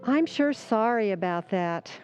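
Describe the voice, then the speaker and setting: An actress playing a sympathetic, folksy small-town grocer in an old-time radio drama, speaking in rural style. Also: female voice, medium pitch, expressive, unhurried, over music, lightly acted. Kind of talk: 1940s radio acting.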